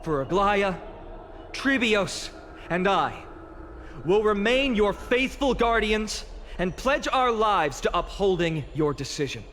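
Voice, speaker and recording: An actor playing an earnest young champion delivering an impassioned speech to an assembly. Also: male voice, high voice, animated, slow, a bit bright, a little hiss or hum, full character voice. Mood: solemn rousing. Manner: solemn, impassioned oratory. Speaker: speaker addressing an assembly. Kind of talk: video game voice acting. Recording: long hall reverb.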